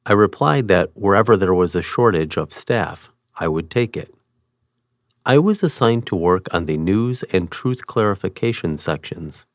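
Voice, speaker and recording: A man giving a scripted reading of a first-person article in a measured, even tone. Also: deep voice, dry recording, clean studio sound. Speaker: reader.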